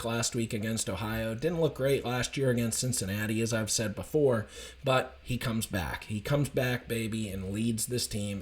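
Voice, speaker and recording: A man with a slightly raspy, hoarse voice, giving an enthusiastic, rambling casual recap of a college football game. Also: mid-range voice. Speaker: college football fan commentator.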